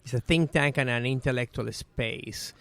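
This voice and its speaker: A middle-aged man with a Venezuelan Spanish accent answers questions in an earnest, thoughtful way. His voice sounds smooth and resonant. He often fills pauses with "eh" and "um.". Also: medium pitch, fairly bright tone, no echo. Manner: earnest, with frequent "eh" and "um" fillers. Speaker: guest. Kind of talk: conversational interview answers.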